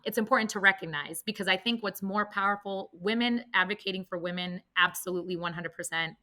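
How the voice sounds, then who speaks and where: A woman with a fairly low, bright and forceful voice speaks as an advocate on a panel. Her style is conversational and unscripted. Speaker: panelist, women veterans advocate.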